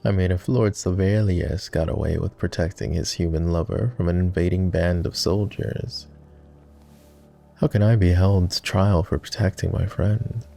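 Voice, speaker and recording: A man playing a flirty, courtly vampire aristocrat in an intimate roleplay ASMR, with refined, formal, teasing speech in a low, gravelly murmur. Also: fairly even intonation, moderate pace, warm tone. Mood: playful, teasing. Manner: refined, formal diction with playful teasing and sighs.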